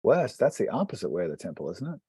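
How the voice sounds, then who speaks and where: Low, mellow, husky male gamer. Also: very animated, fast talker, no room sound, clean studio sound. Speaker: tabletop game player. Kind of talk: casual, slangy game chat.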